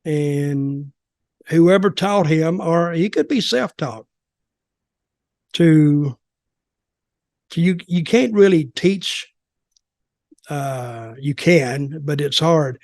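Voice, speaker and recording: Older man with a fairly high voice. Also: very slow, pauses often, a little mellow, dry recording, clean studio sound. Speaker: wrestling commentator and podcast guest. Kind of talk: casual wrestling discussion.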